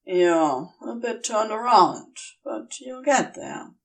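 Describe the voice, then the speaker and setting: A woman voicing a patient, slightly weary male caretaker in an audio story, speaking in a firm, measured, laconic way. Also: fairly high pitch, sing-song, creaky, far from the mic, exaggerated voice, low-quality recording. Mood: patient, reassuring.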